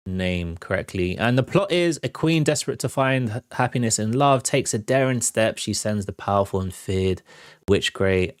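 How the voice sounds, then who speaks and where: A man's voice: on the low side, no echo, clean studio sound. A man hosting a film show, giving casual, off-the-cuff commentary on trailers. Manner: informal, off-the-cuff.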